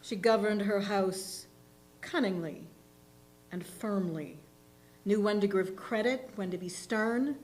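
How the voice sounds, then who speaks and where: A woman reading a literary short story aloud in a deliberate, expressive narrating style. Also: medium pitch, poor audio. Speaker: reader in a readers' theatre. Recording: faint background noise and hum.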